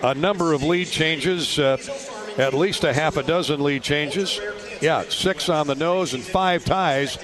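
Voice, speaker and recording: A man's voice: a little high, unhurried, crowd noise. An American man doing live play-by-play radio commentary of a basketball game. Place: indoor basketball arena. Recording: clear arena noise behind, ball bounces. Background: game ambience, bouncing balls.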